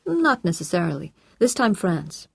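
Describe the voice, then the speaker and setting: A woman voicing a composed, courteous man in an audiobook, with a calm, measured and reassuring manner. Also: fairly low pitch, creaky, husky, no echo, heavily acted.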